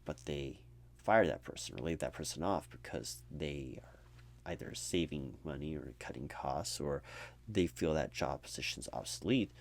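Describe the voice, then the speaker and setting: A man doing a conversational ASMR roleplay as an apathetic, low-energy recruiter, rambling in a jaded, sardonic way. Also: lively intonation, moderate pace, vocal fry. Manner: rambling, sardonic asides mimicking corporate talk.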